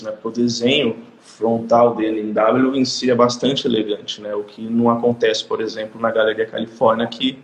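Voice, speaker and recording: A Brazilian man hosting a live stream, talking casually in a measured way and repeating words as he gathers his thoughts. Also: mid-range voice, echoey room, low-quality recording.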